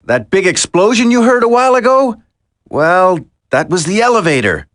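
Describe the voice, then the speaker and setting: A man's voice: sits high, sing-song, moderate pace, fairly bright tone. A man voicing an earnest, determined young commando in a video game, urgent in delivery. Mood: apologetic.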